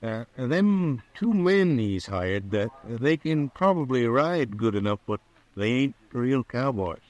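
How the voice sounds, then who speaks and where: An actor playing an honest, hesitant frontier cattle buyer with a folksy American Western accent in a radio drama. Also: male voice, mid-range voice, expressive, darkish tone, dry recording, lo-fi sound. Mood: earnest, confiding. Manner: folksy, halting, deferential. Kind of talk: old-time Western radio drama acting. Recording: vintage radio broadcast recording.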